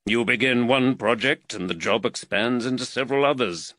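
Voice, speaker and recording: A calm detective with a dry wit, read by a man narrating a story. He speaks plainly and evenly at a middle pitch, much like the narration itself. The sound is close and dry. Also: normal speed, no echo, natural voice. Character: calm, dry-witted male detective, measured.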